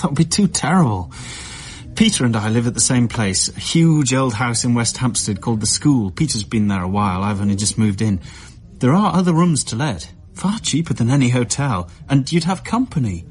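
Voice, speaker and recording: An actor playing a sympathetic, earnest man who speaks gently and coaxingly in a radio drama. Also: mid-range voice, expressive, moderate pace, natural voice. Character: sympathetic, earnest male acquaintance offering help. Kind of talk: naturalistic radio drama acting.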